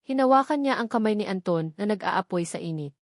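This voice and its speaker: A woman's voice: medium pitch, expressive, a little fast, no echo, clean studio sound. A woman narrating a scripted love story in a dramatic storytelling style. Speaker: narrator of audio love stories.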